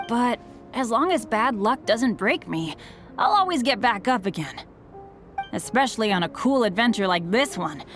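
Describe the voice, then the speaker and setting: A woman's voice: mid-range voice, close to an everyday voice. A woman playing an earnest, self-deprecating teenage boy adventurer in a video game, with a boyish delivery.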